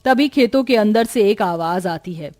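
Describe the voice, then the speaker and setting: A woman's voice: mid-range voice, expressive, normal speed, a little mellow, no echo, studio quality. A woman narrator reading a scripted story as storytelling narration.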